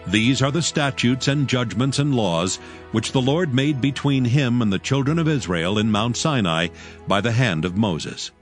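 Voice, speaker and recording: A man narrates scripture in a measured, formal voice of ordinary pitch. Soft music plays beneath his words. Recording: music bed underneath. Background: soft background music.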